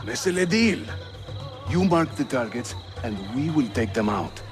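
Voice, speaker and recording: A man's voice: mid-range voice, unhurried, lightly acted. A man with a Turkish accent, playing a wry, spirited and loyal Ottoman assassin leader in a video game. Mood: urgent, firm. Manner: animated, wry. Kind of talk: cinematic game acting. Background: music.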